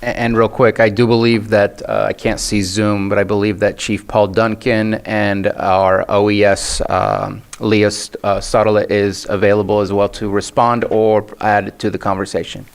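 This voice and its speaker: A man speaks as a county supervisor in a formal meeting, laying out a proposal in measured, halting steps. His pitch sits a little low and stays very even throughout. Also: vocal fry, smooth. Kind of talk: explaining a proposal at a public meeting.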